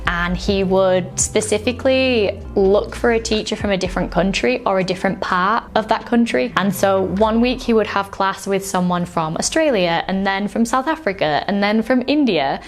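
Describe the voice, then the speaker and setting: A young woman with a light Yorkshire accent speaks at a middling pitch with faint music behind her. She explains things in a loose, chatty way, often reaching for "like" and "um." Her tone is that of an English teacher talking straight to her viewers. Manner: chatty, with frequent "like" and "um" fillers. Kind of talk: casual explainer video.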